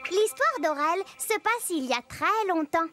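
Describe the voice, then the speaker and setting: Very high, childlike playful storyteller. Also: female voice, lively intonation, unhurried. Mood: confiding. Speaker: narrator. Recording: loud music under the voice.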